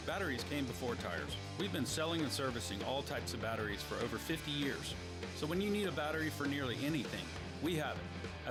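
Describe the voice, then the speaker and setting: American ad man over loud guitar music. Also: medium pitch. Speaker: commercial announcer. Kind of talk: scripted radio ad read.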